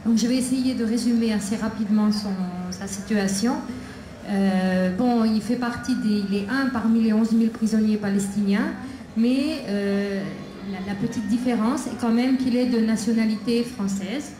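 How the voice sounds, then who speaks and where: A woman speaking at a festival debate about her imprisoned son, talking haltingly with many pauses and restarts. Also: a little high, steady tone, mellow. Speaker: prisoner's mother, invited speaker. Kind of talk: public talk at a debate. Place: outdoor festival debate tent. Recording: echoey PA with crowd ambience.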